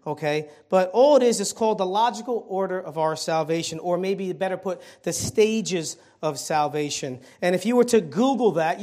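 A man preaching an evening sermon in church, conversational with fillers and restarts, his throat a little hoarse. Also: high-pitched, a little mellow. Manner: conversational, many fillers and restarts, rising emphasis on key points. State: slightly hoarse throat, clearing it. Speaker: lay preacher filling the pulpit. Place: church sanctuary.

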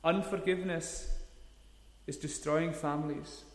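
A man's voice: on the high side, steady tone, a little slow. A man, preaching a sermon in an earnest, emphatic way, leaning hard on key words. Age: 30s. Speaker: pastor. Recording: echoey hall, long reverb.

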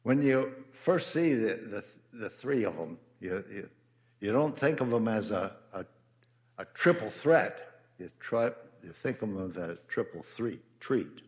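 Elderly man, near ninety, very deep voice, slow, halting, church memorial tribute. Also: animated, a little mellow. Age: older, near ninety. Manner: halting, with fillers and repeated words. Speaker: church member giving a eulogy.

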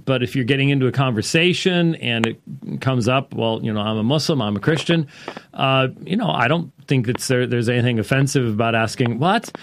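A man speaks as the host of a call-in talk podcast at a middle pitch. His delivery is conversational and unscripted, wandering into asides with a wry touch. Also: fairly quick, a little hiss or hum. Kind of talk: casual unscripted talk show monologue.

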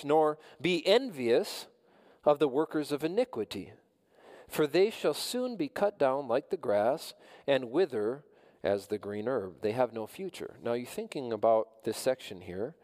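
A man delivers a sermon from the pulpit in a mid-range voice, measured and earnest. He circles back and repeats phrases to drive a point home. His words trail off into the long reverb of a church hall. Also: medium pitch, darkish tone. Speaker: pastor.

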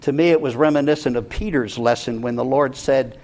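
A man preaching an expository sermon as a pastor, earnest and emphatic, pausing for effect as he explains scripture. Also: mid-range voice, darkish tone. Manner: earnest, emphatic, deliberate with dramatic pauses.

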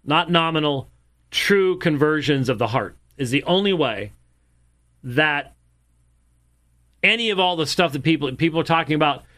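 A man with a rather high, bright and forceful voice comments on clips. He speaks emphatically and hammers his points home by repeating them. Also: expressive, normal speed, long pauses.

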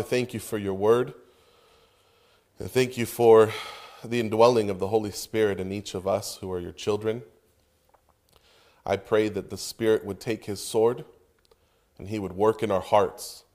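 A man preaching an earnest sermon from the pulpit, reading scripture and praying, with emphatic pauses. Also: on the low side, darkish tone. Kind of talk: sermon with prayer.

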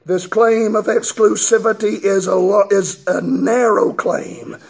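A man preaching a fiery revival sermon in a church, a strong voice and a chanting, shouting cadence, as the congregation answers him. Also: high-pitched, fairly even intonation, a little slow. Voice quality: strong, gravelly voice. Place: church sanctuary with congregation responding.

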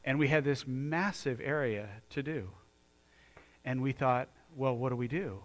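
A man's voice: medium pitch, measured pace. A man from the United States preaching a sermon in a church, earnest and conversational, often restarting his sentences. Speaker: pastor.

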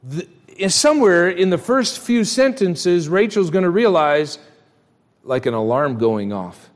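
A man's voice: sits high, lively intonation, unhurried. A man preaching a church sermon as pastor, emphatic and direct, putting rhetorical questions straight to his listeners. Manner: acts out imagined dialogue. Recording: long hall reverb.

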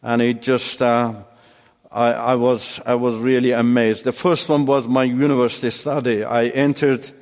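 A man from Iran whose first language is Turkish, giving a personal testimony in a halting way with many restarts. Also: medium pitch, level tone, big-room sound. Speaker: testimony speaker.

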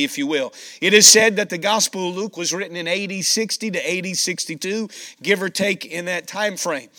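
Scratchy, bright-voiced male preacher. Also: sits high. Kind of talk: sermon with scripture reading.

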